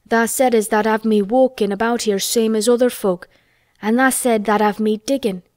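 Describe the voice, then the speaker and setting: A woman voicing an imperious, decisive young boy in a storybook, giving orders and easily excited. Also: medium pitch, moderate pace, no echo, studio quality, natural voice. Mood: exultant, eager.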